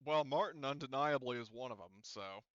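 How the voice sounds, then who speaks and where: A man's voice: mid-range voice, moderate pace, a bit bright, no room sound, muffled recording. A man running a tabletop game as game master, talking casually and informally with the players.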